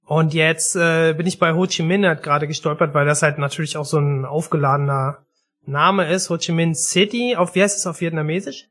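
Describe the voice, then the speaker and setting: A man with a fairly high voice acting as interviewer in a relaxed conversation. He leads up to his questions in loose, everyday speech. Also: fairly quick. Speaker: interviewer, podcast host.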